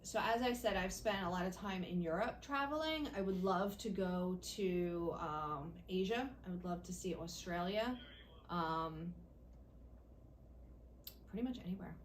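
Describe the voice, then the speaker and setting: A middle-aged woman, a school principal, answering interview questions in a measured, explanatory way. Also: lowish voice, slight background noise.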